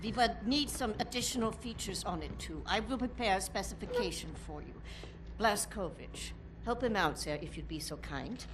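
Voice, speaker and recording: A woman's voice: mid-range voice, lively intonation, normal speed, background noise, natural voice. A woman playing a practical, commanding resistance member in a video game, direct and businesslike. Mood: brisk, determined. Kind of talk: dramatic video game acting.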